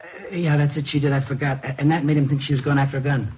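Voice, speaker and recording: An actor playing a nervous, evasive husband under suspicion in a 1950s radio drama, stammering and repeating himself. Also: male voice, a little high, fairly even intonation, fast talker, husky. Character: nervous husband, murder suspect, evasive and increasingly frantic. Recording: dull noisy vintage radio recording.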